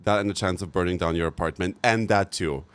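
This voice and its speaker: Male streamer, lowish pitch, loose animated chat with his viewers, cursing and laughing freely. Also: quick pace. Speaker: live streamer. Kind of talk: casual chat with live viewers.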